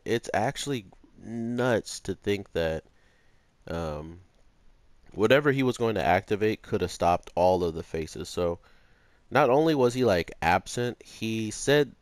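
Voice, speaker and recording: Man, deep voice, dry close sound, casual anime reviewer, meandering and slangy. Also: dry recording. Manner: hesitant, meandering, informal with slang. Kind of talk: rambling unscripted review commentary.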